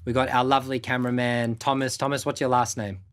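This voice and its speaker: A man's voice: mid-range voice, level tone, fast talker, clean tone. A young man who hosts his own show as a music producer, giving candid motivational advice to camera in a laid-back way, with casual swearing and lots of fillers.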